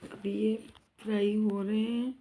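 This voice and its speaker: Woman cooking-vlog host, sore throat, slow measured delivery, fairly high but flat voice, chatty with viewers. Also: low-quality recording. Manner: chatty, addresses viewers as guys, asks for subscribes. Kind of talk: casual cooking vlog narration. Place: home kitchen.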